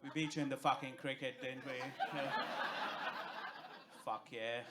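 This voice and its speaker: A man's voice: mid-range voice, steady tone, unhurried, very noisy. A young man from India with an Indian accent, doing a stand-up comedy set on stage with brash, profane punchlines. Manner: brash, profane punchlines over audience laughter. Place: comedy club stage before a live audience. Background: audience laughter.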